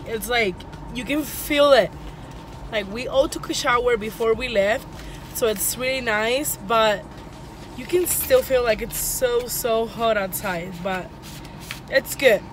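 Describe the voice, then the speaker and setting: A woman's voice: a little high, takes it slowly, pauses often, a little hiss or hum, poor audio. A woman in her thirties chatting to her phone camera in a casual family vlog, rambling with lots of fillers, first in a kitchen and then in a car. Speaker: family vlogger, mother of three. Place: home kitchen, then inside a parked car. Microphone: phone camera held at arm's length.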